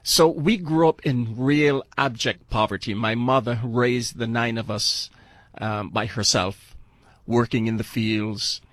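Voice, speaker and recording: Older radio guest from Barbados, earnest and unhurried, dry close mic. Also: male voice, medium pitch, no echo. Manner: earnest, halting with fillers and restarts. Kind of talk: interview, telling his life story.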